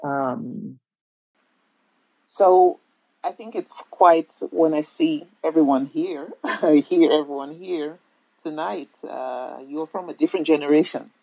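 Husky-voiced woman in her fifties, mixed accent. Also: low-pitched, slow pace, dry recording, lo-fi sound. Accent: mixed Jamaican and British, hard to place. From Jamaica. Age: 50s. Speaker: podcast guest. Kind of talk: casual conversation.

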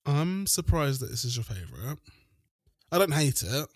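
A man's voice: medium pitch, very animated, normal speed, no room sound, studio quality. A man co-hosting a podcast, giving casual, hesitant opinions on fashion. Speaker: podcast co-host. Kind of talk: casual chat, fashion commentary.

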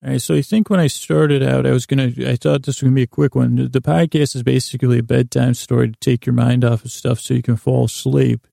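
A man hosting a bedtime podcast in a rambling, whimsical way, halting and repeating himself, close to the mic in a small closet. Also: medium pitch, little pitch movement, gravelly, warm tone, no room sound. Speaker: podcast host. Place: small closet used for recording. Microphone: close mic in a small recording closet.

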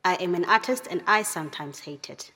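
A woman speaks short, flat statements in an art video. Her delivery is plain and deadpan, and the playback rings with the long reverb of a hall. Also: fairly low pitch, fairly quick.

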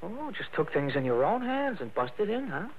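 Lightish-voiced suspicious young cop. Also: male voice, sits high, very animated, normal speed. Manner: hesitant openers, pointed questioning. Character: young, suspicious, officious male policeman. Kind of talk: 1960s radio drama acting. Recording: hissy tinny old radio recording.

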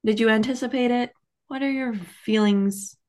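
A woman's voice: on the high side, moderate pace, a little mellow. A woman co-hosting a book podcast, chatting casually and candidly, peppering her sentences with "like". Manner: conversational, heavy use of "like", candid.

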